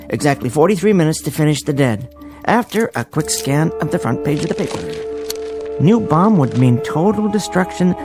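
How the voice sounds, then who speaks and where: An actor playing a meek, bookish bank clerk who talks to himself in an old-time radio drama style. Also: male voice, on the high side, creaky. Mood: contented, cosy, then musing. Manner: talks to himself, halting repetitions when shaken. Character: meek bookish male bank clerk, dazed lone survivor. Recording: radio drama with music underneath.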